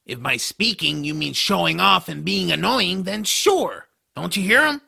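A man voicing a bitter, sarcastic male troll in an audio drama, with a harsh gravelly voice and a grumbling manner. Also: sits high, expressive, measured pace, pushed and bright, exaggerated voice. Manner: sardonic, grumbling.

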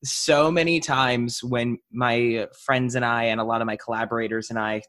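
Guy hosting a podcast, medium pitch, just chatting casually with a guest. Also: steady tone, moderate pace, a bit bright. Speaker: podcast host. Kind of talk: casual interview chat.

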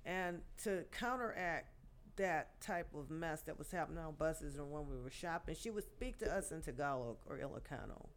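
A woman in her sixties, a guest poet, telling her life story in a podcast interview with many pauses and restarts. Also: low voice, lively intonation. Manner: halting, many fillers and restarts.

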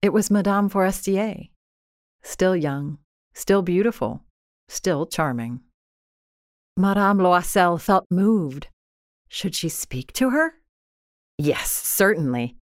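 Female storyteller for classic fiction: lowish register, deliberate expressive reading, dry recording. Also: no echo. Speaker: audiobook narrator. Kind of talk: scripted literary storytelling.

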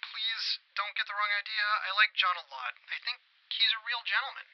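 A woman's voice: medium pitch, steady tone, normal speed. A performer with a woman's voice playing an earnest, teasing young friend over the phone in a modern audio drama. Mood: awkward, earnest. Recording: dull lo-fi phone line.

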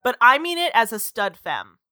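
Bright-voiced young woman leading podcast chat. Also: a little high, normal speed, no room sound, studio quality. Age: 20s. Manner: lively storyteller, leads the discussion. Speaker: podcast co-host.

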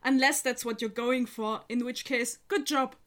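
A woman's voice: on the high side, fairly even intonation, normal speed, fairly bright tone. A woman playing a tabletop game, wry and teasing in casual talk. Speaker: player. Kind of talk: casual tabletop roleplay chat.

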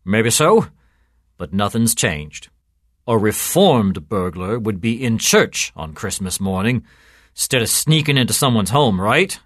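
A man voicing a cynical, gruff police sergeant in a story, clipped and sardonic in his delivery. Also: fairly low pitch, animated, measured pace, no echo, studio quality. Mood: sardonic, taunting. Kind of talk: storytelling character voice.

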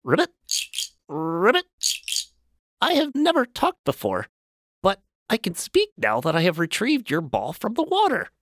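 An actor voicing a smug, educated talking frog in an audio storybook drama, punctuating speech with croaked ribbits. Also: mid-range voice, huge pitch swings, unhurried, long pauses, fairly bright tone, no echo, clean studio sound, exaggerated voice. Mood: matter-of-fact, smug. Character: talking frog, well-schooled, matter-of-fact, slightly smug male.

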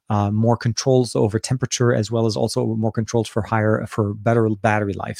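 A man's voice: mid-range voice, darkish tone, dry recording, studio quality. A man from Lebanon with a Lebanese accent, hosting a casual live question-and-answer stream about phones and cameras, talking loosely with many fillers and restarts. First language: Arabic. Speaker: tech channel host answering live chat.

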